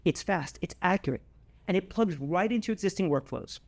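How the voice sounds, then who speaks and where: Male corporate presenter, slightly high register, scripted announcement read, emphatic, with key phrases repeated for weight. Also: expressive, fairly quick. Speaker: company presenter.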